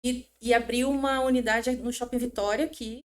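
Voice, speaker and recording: A middle-aged woman from São Paulo, a podcast guest telling stories in an animated, chatty way. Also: on the high side, moderate pace. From São Paulo, Brazil. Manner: animated, chatty, self-interrupting storyteller. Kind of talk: casual interview chat.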